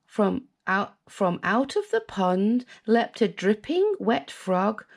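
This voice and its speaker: A woman with a British accent reading a children's picture book aloud in an expressive, sing-song way, with asides to young listeners. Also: medium pitch, slow pace. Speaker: storyteller, children's channel host.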